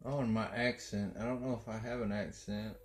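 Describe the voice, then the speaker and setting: A man's voice: fairly low pitch, fairly even intonation, fairly quick. A man from Texas with a Texan accent, chatting casually and joking dryly, with many hesitations. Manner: dry, joking, hesitant with fillers. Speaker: partner of the host. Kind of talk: casual chat.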